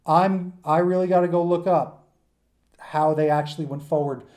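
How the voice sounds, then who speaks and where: A man's voice: on the high side, steady tone, moderate pace, a little mellow. A man giving an informal lecture to students in an enthusiastic, emphatic, rambling conversational way, as their teacher.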